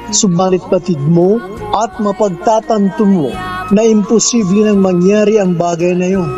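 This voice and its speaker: A man hosting a radio drama, reading sentimental scripted narration in a solemn, wistful way. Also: high-pitched. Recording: dull lo-fi radio sound with music.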